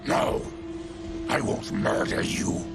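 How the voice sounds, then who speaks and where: An actor playing a gruff, honourable monstrous mutant warrior in a video game, stern but fair, with a growling voice. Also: male voice, takes it slowly, natural voice. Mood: stern, sombre. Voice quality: deep gravelly growl. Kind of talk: video game cinematic acting. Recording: loud game music over the voice.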